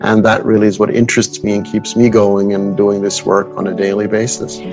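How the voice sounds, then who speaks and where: A man in his fifties from Switzerland speaking as an expert guest in an interview, reflective and halting, repeating phrases for emphasis. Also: fairly low pitch, level tone, darkish tone, soft background music, low-quality recording. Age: 50s. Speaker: guest expert, astrologer and coach. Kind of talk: interview conversation.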